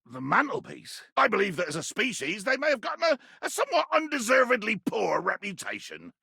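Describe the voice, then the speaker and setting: An actor playing a tiny, fierce, pompous pirate captain in a video game, with a raspy, gravelly voice and grandiloquent, blustering delivery. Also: male voice, high voice, huge pitch swings, fairly quick, bright, forceful tone, no room sound, clean studio sound, exaggerated voice. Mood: jovial. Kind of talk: theatrical video game character acting.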